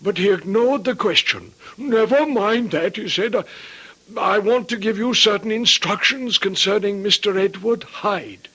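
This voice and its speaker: A man playing an anxious, deferential household servant in a radio drama, stammering and halting as he speaks. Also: high voice, pushed and bright, slightly rough, heavily acted, poor audio. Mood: anxious, earnest. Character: anxious, deferential male household servant, frightened for his master. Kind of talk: old-time radio drama acting. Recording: old radio drama recording.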